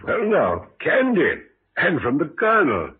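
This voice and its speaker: Thin old radio recording with faint noise. a middle-aged small-town businessman, polite but wary, his speech lively in pitch and a little halting. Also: narrow, tinny audio. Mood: suspicious. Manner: courteous, hesitant, halting. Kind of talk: 1950s radio drama acting.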